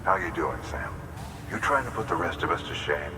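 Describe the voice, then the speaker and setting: Deep-voiced jovial man in an echoey space. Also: lively intonation, fairly quick, far from the mic, very noisy. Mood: amused, admiring. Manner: casual, jovial. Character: admiring, good-natured man greeting a legendary courier. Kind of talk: video game dialogue.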